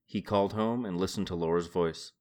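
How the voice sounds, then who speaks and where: A man's voice: sits low, fairly quick, darkish tone. A middle-aged man hosting a podcast, reading a book aloud and commenting on it in an informal, musing way. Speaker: podcast host. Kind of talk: book read-aloud and casual solo commentary.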